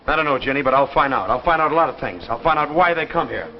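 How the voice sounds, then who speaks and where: Guy acting driven stubborn american man, 50s tv drama, emphatic, kinda high voice, muffled old audio. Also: lively intonation, fast talker, close to an everyday voice. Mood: fervent. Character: stubborn, driven man hunting for proof of saucers. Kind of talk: 1950s television drama acting. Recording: muffled 1950s television soundtrack, faint noise.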